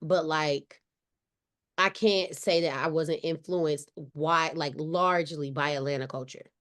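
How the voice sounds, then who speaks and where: Podcast guest, woman, light Southern accent, lower pitch, chatty with restarts. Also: fairly even intonation, unhurried, a bit bright. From Cleveland, Ohio. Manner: chatty, many "like" fillers and restarts. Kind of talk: casual conversation.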